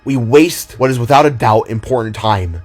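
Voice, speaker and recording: A man voicing an earnest, worried little hero in an audio drama, speaking with deliberate urgency. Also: mid-range voice, expressive, a little mellow, soft background music, natural voice. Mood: determined.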